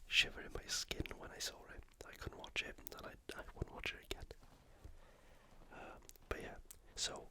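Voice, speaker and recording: An ASMR host delivers casual commentary entirely in a whisper. The voice is airy and breathy, with no real voicing. The delivery is conversational, like chatting through a match or a game.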